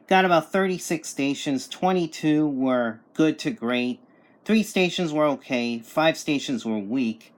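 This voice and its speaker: Matter-of-fact man testing a radio. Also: medium pitch. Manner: matter-of-fact, reading out call signs and distances. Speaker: reviewer giving a radio reception test. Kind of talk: casual product demonstration.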